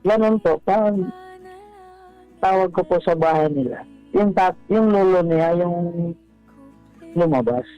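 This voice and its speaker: A man phones a radio show to tell his story in a halting, polite way. His voice is high and dark, heard over a dull phone line with faint music. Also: smooth. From Bohol, Philippines. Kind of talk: casual personal storytelling. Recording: dull, band-limited phone call.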